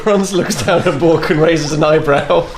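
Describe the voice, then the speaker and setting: A man's voice: on the high side, moderate pace, noisy background. A man playing a tabletop game, talking hesitantly and repeating himself with lots of fillers. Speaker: player. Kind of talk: casual tabletop game play.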